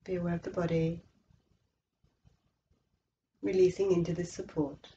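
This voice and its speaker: Female meditation guide, soft-edged dark timbre, slow and soothing, slightly muffled recording. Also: fairly low pitch, little pitch movement, a little hiss or hum. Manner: slow, measured, soothing delivery with long pauses. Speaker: yoga nidra instructor.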